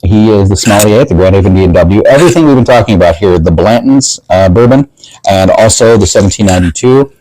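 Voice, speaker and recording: A man's voice: fairly low pitch, animated, fast talker, warm tone, no echo, rough recording. A man hosting a casual tasting interview in a folksy, chatty way, talking to his guest and plugging promotions. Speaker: show host.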